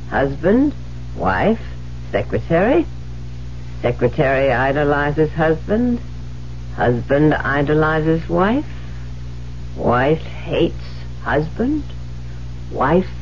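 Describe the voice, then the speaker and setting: An actress in a 1970s radio drama playing a cold, unhinged woman writer who killed her husband, speaking deliberately and dramatically. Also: low voice, big pitch swings, darkish tone, heavily acted. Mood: cold, controlled. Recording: dull, noisy 1970s radio recording with hum.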